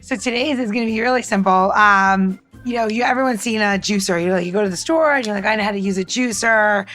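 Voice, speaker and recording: A woman's voice: mid-range voice, fast talker, a bit bright, faint music behind. A chatty woman co-hosting a podcast, talking casually and directly to the listener as if demonstrating. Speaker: podcast co-host giving bar tech tips.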